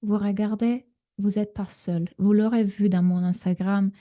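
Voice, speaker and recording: A woman's voice: medium pitch, steady tone, measured pace, dark tone. A woman in her thirties from Italy with an Italian accent, giving a live online coaching masterclass in a reassuring way with frequent fillers, on a computer mic. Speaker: relationship coach.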